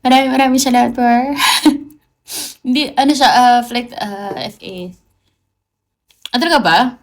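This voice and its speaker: Female livestreamer, Filipino accent, slightly high voice, casual and playful. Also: measured pace. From Philippines. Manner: playful, chatty, mixes English into her talk. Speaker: livestream host.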